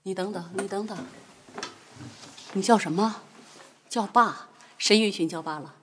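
An actress playing a theatrical, sharp-tongued middle-aged mother, scolding and sarcastic. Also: medium pitch, normal speed, a crowd in the background, lightly acted. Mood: indignant. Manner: scolding, sarcastic, turns tearful. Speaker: mother of grown children. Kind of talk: TV drama acting.

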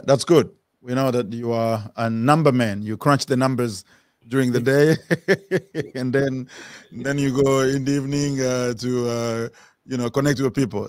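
Congo-born host, dry close sound. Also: male voice, medium pitch, no echo. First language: Swahili. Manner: friendly, halting, many fillers and restarts. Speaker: interview host. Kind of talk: video-call interview. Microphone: studio mic.

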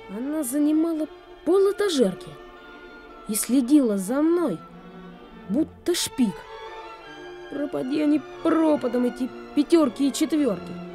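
A young performer playing a hurt, bitter and defiant schoolboy in a radio drama. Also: male voice, extremely high pitch, dark tone, heavily acted.

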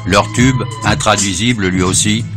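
A man doing the scripted, matter-of-fact narration of a documentary, read over loud music. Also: lowish voice, normal speed.

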